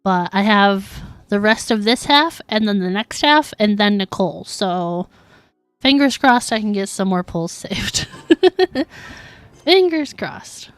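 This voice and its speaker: Woman, game streamer, casual chatty manner, slow pace, very dry sound, faint music behind. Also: mid-range voice, animated, fairly bright tone, clear, resonant tone, dry recording. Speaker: game livestreamer. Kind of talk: casual chat while streaming gameplay.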